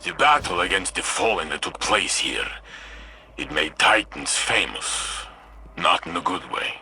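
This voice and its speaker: Video game Titan hero: hearty, blunt, very forceful tone, slow and weighty, music underneath. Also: male voice, sits low, lively intonation, slight background noise, full character voice. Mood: gruff, irritated. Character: hearty, proud, heroic male armored Titan warrior.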